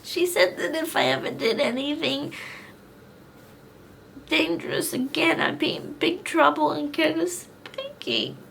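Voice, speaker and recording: A woman's voice: sits high, big pitch swings, unhurried, vocal fry, fairly bright tone, slight background noise, pitched above the performer's own voice, full character voice. A woman voicing a tearful grown woman regressed into a childlike little girl, sobbing and pleading.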